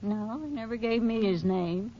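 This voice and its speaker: A woman's voice: fairly high pitch, normal speed, a little hiss or hum, close to an everyday voice. An actress playing a defensive landlady in a 1950s radio drama, talking in a fretful, ungrammatical way. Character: defensive middle-aged landlady. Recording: dull lo-fi old radio recording.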